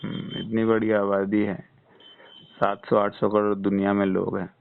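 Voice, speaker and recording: Man, health counsellor, reassuring casual advice, gravelly, little pitch movement, narrow phone sound. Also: monotone, moderate pace. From West Bengal, India. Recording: muffled, band-limited phone call recording.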